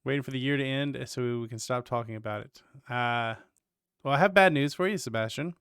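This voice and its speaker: A man's voice: medium pitch, a little fast, a bit bright, clear, resonant tone, dry recording, studio quality. A man doing casual livestream commentary with wry, joking asides. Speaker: streamer.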